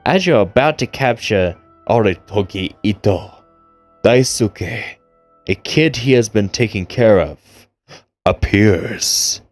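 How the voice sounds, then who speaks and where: A man's voice: fairly low pitch, expressive, takes it slowly. A man giving casual, goofy game commentary, reading dialogue aloud and wryly talking back to the characters. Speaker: gaming video creator playing and commenting. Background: game music.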